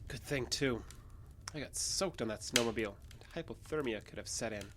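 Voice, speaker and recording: A man's voice: mid-range voice, normal speed, noisy background, natural voice. A man playing a stubborn, evasive wounded fugitive in an audio drama, speaking in a defensive way. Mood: guarded, irritable.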